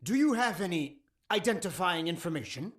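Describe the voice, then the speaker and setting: A woman's voice: fairly low pitch, lively intonation, a little fast, lightly acted. A woman playing a suspicious, vehement council member in a fantasy audio drama, formal and accusatory.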